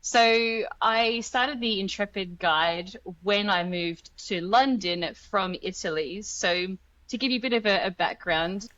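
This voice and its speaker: A woman's voice: mid-range voice, measured pace, a bit bright, dry recording, highs cut off. A woman from Australia with an Australian accent, chatting informally as an interview guest and telling personal stories. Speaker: interview guest, language guide founder. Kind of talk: casual personal storytelling in an interview.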